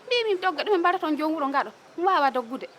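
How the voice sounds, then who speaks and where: A woman's voice: high-pitched, lively intonation, racing, a bit bright, a little hiss or hum. A woman speaks spontaneously as an interviewed witness, recounting in detail. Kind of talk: spontaneous testimony.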